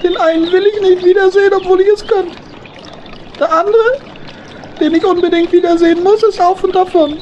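A woman's voice: extremely high pitch, fairly even intonation, brisk, a little mellow, dull audio, slight background noise. A woman playing a small, naive male hedgehog in a children's audio play, earnest and politely formal. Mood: dejected. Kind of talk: children's audio drama acting.

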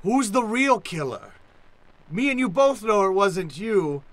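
An actor playing a drunk, scheming mentor in an improvised old-time radio play, grandiose and dramatic. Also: male voice, high-pitched, very animated, a little slow. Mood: conspiratorial. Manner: grandiose, dramatic, swinging from murmurs to shouts. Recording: noticeable background noise.

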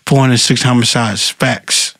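A man's voice: medium pitch, fairly even intonation, unhurried, a bit bright, no echo. A man in his thirties from Philadelphia, a politician guest talking casually and emphatically, often saying "right?" and "facts". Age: 30s. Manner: emphatic, repeats words and says "right?" and "facts". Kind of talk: casual interview talk. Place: small studio with brick wall. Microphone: desk mic on boom arm.

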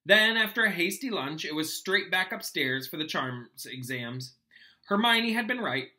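Young male teacher reading aloud to students, bright and expressive delivery, pausing to explain. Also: fairly high pitch. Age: young adult. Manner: expressive, acts out dialogue. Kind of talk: storybook read-aloud with plain explanatory asides. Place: small home room, indoors.

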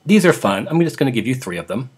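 Husky man introducing old radio shows. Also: mid-range voice, sing-song, fast. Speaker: podcast host.

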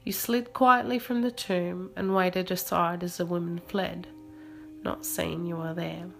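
A woman reading a scripted guided meditation in a measured, soothing manner. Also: fairly low pitch, flat delivery, vocal fry. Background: soft music.

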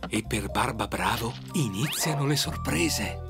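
A man narrating a children's cartoon story in a scripted, expressive storytelling style. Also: mid-range voice, measured pace, creaky. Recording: music behind the voice.

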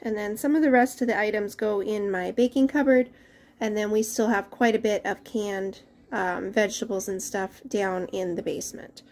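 A woman's voice: mid-range voice, steady tone, moderate pace, a little mellow. A young mother casually walking through her family's grocery haul and pantry at home, chatty and sometimes losing her words, recorded on a handheld camera. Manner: chatty, loses words, trails off. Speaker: mother of a large family, vlogger. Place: indoors at home, dining room and pantry. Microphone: handheld camera held at chest height.

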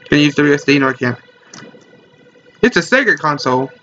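A man's voice: on the high side, big pitch swings, brisk, bright, forceful tone. A young man hosting a casual let's play in his bedroom, joking and reading game text aloud. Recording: lo-fi webcam audio with game music.

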